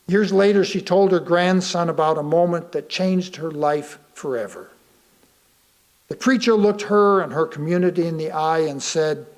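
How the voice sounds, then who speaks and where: A man reading a sermon aloud from a script, deliberately and with emphatic pauses. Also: high-pitched. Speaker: lay reader of a sermon.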